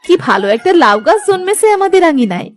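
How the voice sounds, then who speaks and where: A woman's voice: extremely high pitch, very animated, quick pace, soft background music. A woman voicing a caring, dutiful elder daughter-in-law in a village cartoon, with a tender, reassuring manner. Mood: tender, pleased. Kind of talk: Bengali moral-story cartoon dubbing.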